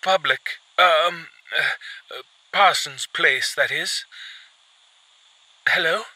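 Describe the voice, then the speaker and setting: Male narrator putting on a high, nervous, stumbling male caller for an audio horror story. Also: very slow, bright, forceful tone, dry recording, exaggerated voice, low-quality recording. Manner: hesitant, stumbling over words. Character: nervous stammering male client on the phone.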